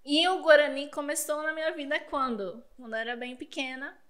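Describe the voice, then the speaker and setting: A woman with a high voice tells a long childhood story in a relaxed podcast chat. She laughs often as she talks. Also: a little fast. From Paraguay border region. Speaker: guest.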